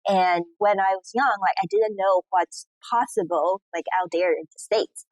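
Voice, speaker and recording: A woman's voice: mid-range voice, normal speed, no room sound, rough recording. A woman in her thirties from Taiwan, answering interview questions over a call in a casual way, with many "you know, like" fillers. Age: 30s. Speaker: guest entrepreneur. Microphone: remote call recording.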